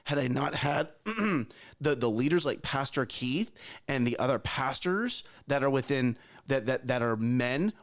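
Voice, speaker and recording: A man's voice: medium pitch, expressive, a little slow. A man in his thirties, co-hosting a morning show, tells a personal parenting story in an earnest, repetitive way and speaks straight to listeners. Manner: earnest, repeats himself, addresses listeners directly.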